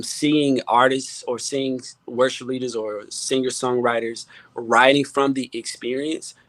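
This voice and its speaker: A man speaking as a guest producer and songwriter in a casual panel chat, halting and repeating words as he thinks, often adding "you know". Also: medium pitch, fairly bright tone, dry recording.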